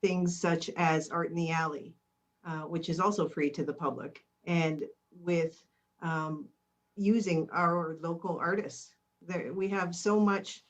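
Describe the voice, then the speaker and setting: Woman candidate, eager and earnest, low-pitched. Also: fairly even intonation, measured pace. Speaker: council candidate. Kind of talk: candidate speech at a forum.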